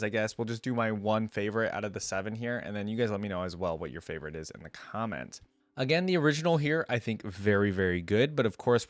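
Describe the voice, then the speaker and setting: A man's voice: lowish voice, dry recording, clean studio sound. A man hosting a reaction video, giving casual commentary in an informal, rambling way as he thinks aloud. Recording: music playing behind the voice. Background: music.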